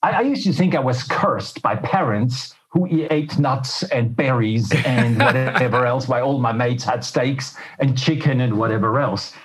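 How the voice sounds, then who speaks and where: A man's voice: mid-range voice, normal speed, few pauses. Self-deprecating middle-aged man from Switzerland. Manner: self-deprecating, colloquial, candid. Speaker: interview guest, health professional. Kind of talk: conversational personal storytelling.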